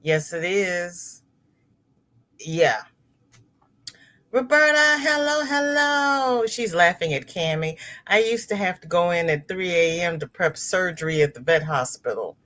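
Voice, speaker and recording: A woman's voice: fairly low pitch, expressive, a little slow, fairly bright tone. A middle-aged woman hosting a casual crochet livestream, reading viewer comments aloud and greeting people by name.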